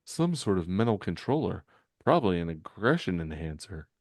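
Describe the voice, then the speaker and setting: A man plays a comic-book scientist hero who leads his team, level-headed and decisive. He explains and commands with lively intonation in a dry recording. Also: low voice, moderate pace, no room sound, full character voice. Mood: assured. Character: male scientist superhero leader, brisk, commanding, level-headed.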